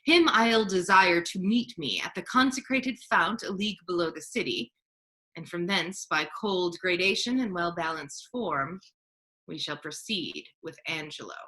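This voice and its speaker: Woman as a scheming duke dressed as a friar, lowish for a woman, bright n forceful, stagey shakespeare verse. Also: measured pace. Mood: commanding. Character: scheming duke disguised as a holy friar, male, authoritative.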